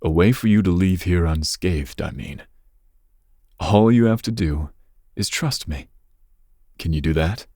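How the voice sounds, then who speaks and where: A man playing a dangerous, possessive young mafia boss's son in an intimate ASMR roleplay, speaking breathily with smooth, teasing menace. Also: measured pace, long pauses, warm tone, no room sound, studio quality. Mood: threatening. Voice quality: breathy, husky low voice. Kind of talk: ASMR roleplay, intimate second-person monologue.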